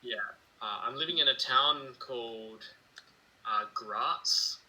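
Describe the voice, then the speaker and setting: Bright-voiced Australian man, easygoing. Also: mid-range voice, slow pace, slight background noise, rough recording. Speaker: English tutor. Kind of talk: casual online lesson chat. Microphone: video call.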